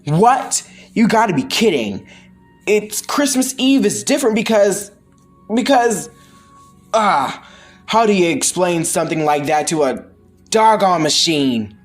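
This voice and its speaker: A man's voice: high voice, very animated, unhurried, soft background music, voice pushed up. A man voicing a hot-headed comic book archer hero who blusters in exasperated, folksy slang. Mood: flustered.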